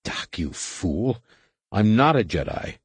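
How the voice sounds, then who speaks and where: Man playing low, defiant young space pilot. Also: sing-song, takes it slowly, slightly rough, no room sound, full character voice. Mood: incredulous, angry. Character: steady, defiant young male rescue pilot. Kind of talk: audiobook character voice in a space adventure.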